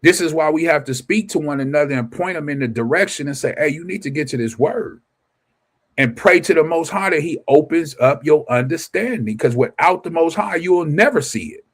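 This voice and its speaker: A man's voice: a little high, expressive, fairly quick. A man reading scripture aloud and preaching informally to an online audience, asking rhetorical questions and answering them himself. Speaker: scripture teacher. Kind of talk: informal scripture study livestream.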